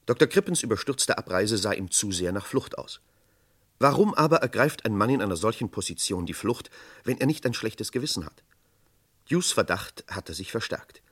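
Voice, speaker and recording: An actor as the narrator of a German radio crime play, telling a suspenseful story in formal scripted style. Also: male voice, on the low side, fairly bright tone.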